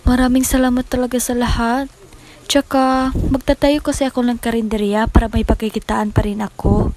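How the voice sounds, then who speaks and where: A woman's voice: on the high side, level tone, brisk, a little mellow, lightly acted. A woman playing a grateful, chatty, confiding neighbour in an amateur radio drama. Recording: noisy homemade recording with clicks.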